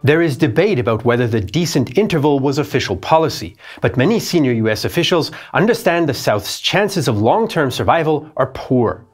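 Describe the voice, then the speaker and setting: Male history host, 30s, expressive scripted read; light music bed under the voice. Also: mid-range voice, brisk, few pauses. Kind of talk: scripted documentary narration. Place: dark studio set.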